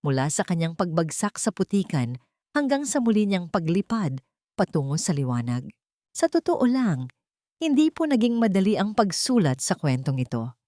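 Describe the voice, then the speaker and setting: A woman's voice: fairly low pitch, sing-song, normal speed, dark tone, no room sound, clean studio sound. A woman storyteller narrating a scripted romance drama earnestly and politely, addressing her listeners.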